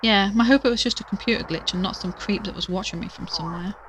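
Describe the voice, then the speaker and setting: A woman's voice: medium pitch, fast talker, fairly bright tone, slight background noise, lightly acted, low-quality recording. An actress playing a determined, worried woman podcaster who investigates a mystery in an audio drama. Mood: anxious, intent.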